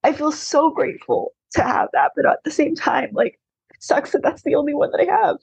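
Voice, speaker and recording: A woman's voice: high-pitched, fairly even intonation, fairly quick, vocal fry, a little mellow, no room sound, rough recording. A woman in her thirties, an author from Taiwan whose first language was Mandarin, speaking earnestly and candidly in an interview, close to tears. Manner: earnest, candid, frequent "like" fillers. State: tearful, close to crying. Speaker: guest, adoptee author. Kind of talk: emotional interview conversation.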